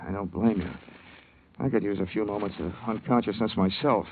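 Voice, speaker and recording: An American actor playing a tough, wisecracking insurance investigator in a 1950s radio drama, wry and clipped. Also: male voice, fairly low pitch, steady tone, brisk, soft background music, lightly acted. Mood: pressing, wry. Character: wisecracking, hard-boiled male insurance investigator. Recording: tinny vintage radio broadcast recording.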